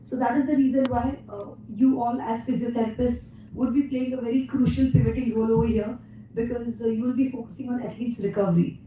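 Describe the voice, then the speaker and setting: An Indian woman with a worn, fading voice addresses a lecture hall with lively energy, pausing often with "uh." Her tone is very dark and mellow, and her voice rings through a big echoey room from a distance. The sound is dull. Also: fairly high pitch, slight background noise. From India (Mumbai). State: losing her voice. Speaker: guest speaker at a physiotherapy event. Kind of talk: conference talk to an audience.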